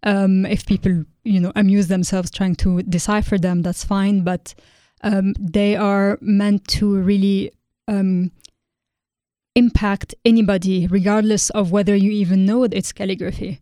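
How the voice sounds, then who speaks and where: A woman from Lebanon, a guest artist in an interview, speaking thoughtfully and pausing often with ums. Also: mid-range voice, level tone, mellow, no echo, studio quality. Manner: thoughtful, hesitant with frequent ums. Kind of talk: interview conversation.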